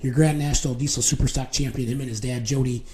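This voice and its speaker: A man with a slightly hoarse voice, chatting informally as host of a casual live show. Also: mid-range voice, fairly even intonation, quick pace, darkish tone.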